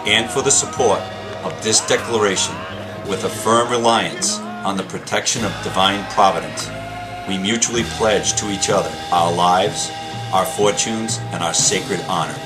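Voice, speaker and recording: A man with a low voice reads a historical document aloud. His delivery is solemn and formal. Clear music plays behind his words. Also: normal speed, low-quality recording. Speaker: volunteer reader.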